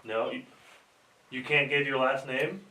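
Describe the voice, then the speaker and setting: A man speaking slowly and drawn out, heard from a distance in a roomy, echoing space. Faint ambient noise sits under his voice. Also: mid-range voice, sharp tone.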